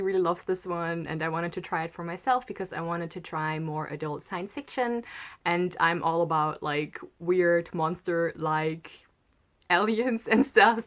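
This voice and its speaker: Chatty German-accented woman, slightly low. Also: a bit bright. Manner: chatty and enthusiastic. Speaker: book vlogger. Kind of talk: casual book haul chat.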